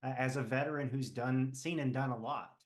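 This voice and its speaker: A man's voice: medium pitch, steady tone, brisk. A man hosting a podcast, asking questions in a casual interview and giving short, encouraging replies. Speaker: podcast host.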